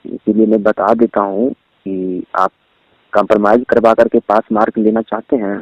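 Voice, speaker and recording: A man with a Bihari accent on a recorded phone call, explaining in a roundabout, repetitive way as an official-sounding caller making a persuasive pitch. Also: flat delivery, moderate pace, no echo.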